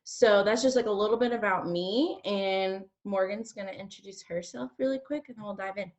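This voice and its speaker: A woman's voice: mid-range voice, a little fast, darkish tone, dull audio. Chatty woman in her early thirties. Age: early 30s. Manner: chatty, peppered with "like". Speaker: direct-sales team leader co-hosting a training. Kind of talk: informal motivational talk to an online group.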